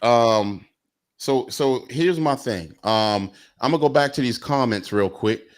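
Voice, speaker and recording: A man's voice: mid-range voice, unhurried, clear, resonant tone. Easygoing guy in his 40s hosting, loose and rambly, lots of ums. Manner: laid-back, rambling with fillers. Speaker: host. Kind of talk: casual podcast chat. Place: Atlanta.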